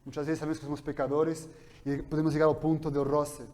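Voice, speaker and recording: A man preaching a sermon in a church, his coming through his Spanish, swinging between quiet explanation and loud, fervent passages. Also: on the high side, flat delivery, fast talker. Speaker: pastor. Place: church hall.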